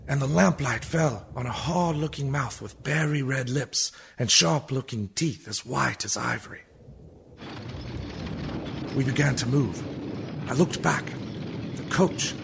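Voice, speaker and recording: A man playing an earnest, uneasy young lawyer's clerk in a radio play, grave and dramatic, with a gravelly creak. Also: medium pitch, unhurried. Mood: mounting unease. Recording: noisy background behind voice.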